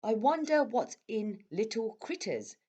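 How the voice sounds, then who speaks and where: A woman voicing a lively young girl in a children's storybook, expressive and exclaiming. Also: a little high, unhurried, breathy edge, voice pushed up, exaggerated voice. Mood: curious.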